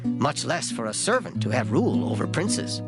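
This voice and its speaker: A man reads scripture as a dramatic performance, solemn and declamatory. His intonation rises and falls expressively, and plucked string music plays clearly behind him. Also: mid-range voice, sing-song, normal speed. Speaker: scripture reader. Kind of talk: dramatized Bible reading.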